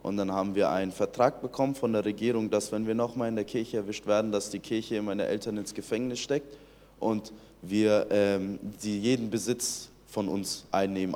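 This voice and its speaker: A man's voice: on the low side, level tone, moderate pace, warm tone, in a large hall. A young man from Iran in his late teens, telling his personal faith testimony earnestly, often restarting his sentences. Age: young adult. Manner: earnest storytelling with many restarts.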